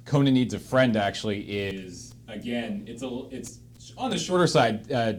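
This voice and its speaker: A man hosting a casual unscripted discussion, speaking haltingly and emphatically and repeating phrases for stress. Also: medium pitch. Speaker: host of a panel discussion. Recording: clear room noise behind.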